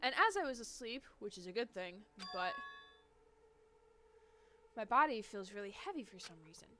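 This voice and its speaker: A woman's voice: medium pitch, big pitch swings, normal speed, noisy background, close to an everyday voice. A woman reading the lines of a level-headed young male student in a plain, thoughtful way, as game narration. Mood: calm.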